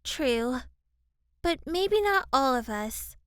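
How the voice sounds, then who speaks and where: A woman's voice: high-pitched, animated, slow pace, dry recording, clean studio sound, full character voice. A woman voicing a timid, anxious, sweet teenage girl in an anime-style audio drama, with a hesitant, childlike manner. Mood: shy, gentle. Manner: hesitant, soft-hearted, childlike.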